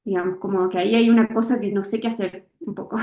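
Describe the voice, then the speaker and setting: A woman author, from Misiones, talking through her creative process in a thoughtful interview, often pausing and restarting as she looks for words. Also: mid-range voice, warm tone, roomy sound. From Misiones, Argentina. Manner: hesitant, searching for words, many fillers and restarts.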